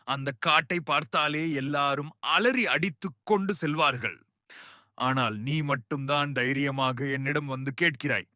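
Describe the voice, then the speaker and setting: A man's voice: on the high side, pushed and bright, dry recording, studio quality. A man voicing a knowing, approving village elder in a cartoon moral story, explaining formally.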